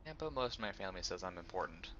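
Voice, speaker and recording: A man's voice: low voice, lively intonation, very fast, lo-fi sound. A man hosting a gameplay video with casual, joking commentary. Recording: clear ambient noise behind the voice.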